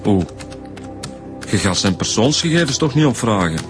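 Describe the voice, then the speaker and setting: A sceptical male detective with a lowish voice and a Belgian accent. He is wry and casual in a radio drama, with music clearly behind him. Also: sing-song, moderate pace. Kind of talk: naturalistic radio drama acting.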